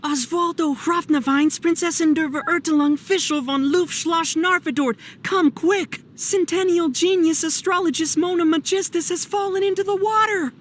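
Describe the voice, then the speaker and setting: A man voicing a young male traveler in a video game, calm, with a dry, playful, teasing manner. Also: very high-pitched, normal speed, few pauses, slight background noise. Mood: playful mockery.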